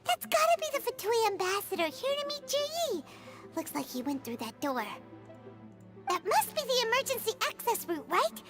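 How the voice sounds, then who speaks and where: A woman playing a tiny, excitable floating fairy girl companion in a video game, with a childlike voice and game music behind her. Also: extremely high pitch, moderate pace. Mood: eager, curious.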